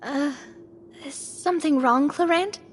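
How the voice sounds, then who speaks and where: A woman playing a curious, bold young female adventurer in a video game, with an expressive, musing delivery. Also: high-pitched, unhurried, slight background noise. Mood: concerned.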